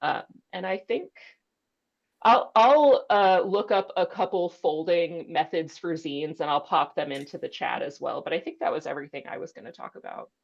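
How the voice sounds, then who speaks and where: Woman librarian chatting thru a webinar talk, lowish voice, kinda muffled lo-fi call audio. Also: moderate pace, vocal fry. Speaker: librarian on a discussion panel. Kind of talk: informal webinar presentation. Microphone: video call.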